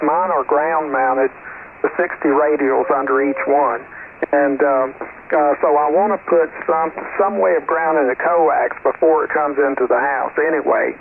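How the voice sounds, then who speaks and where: An American man with a slightly high voice speaks over a thin, phone-like radio channel with light static. He is a ham operator making friendly, hesitant, casual technical conversation. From North Carolina, United States. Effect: radio transmission. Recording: narrow tinny shortwave radio, clear static noise.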